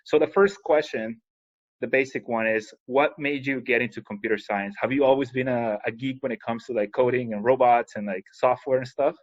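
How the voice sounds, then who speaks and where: Chatty man host, dull recording. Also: medium pitch. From Peru. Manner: chatty, rambling, quick asides. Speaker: podcast host. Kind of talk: casual interview talk.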